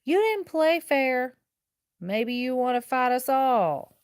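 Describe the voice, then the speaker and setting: Woman as loud, challenging storybook bully. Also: sits high, very animated, slow pace, clear, resonant tone, no room sound, pitched above the performer's own voice, exaggerated voice. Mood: defiant. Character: taunting boy bully and hostile challengers.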